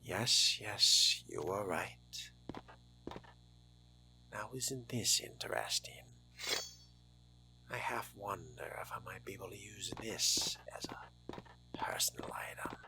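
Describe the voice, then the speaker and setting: Deep-voiced man, theatrical game narration. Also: slow pace, pauses often, bright, forceful tone, in a whisper, poor audio. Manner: theatrical, formal wording. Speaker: role-playing game streamer. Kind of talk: in-character game narration, diary reading.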